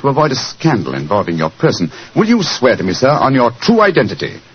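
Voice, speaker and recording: An actor playing a keen, courteous English gentleman detective with a British accent in a 1940s radio drama. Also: medium pitch, huge pitch swings, quick pace. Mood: grave, deferential. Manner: probing, polite, crisp reasoning. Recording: muffled, hissy 1940s radio recording.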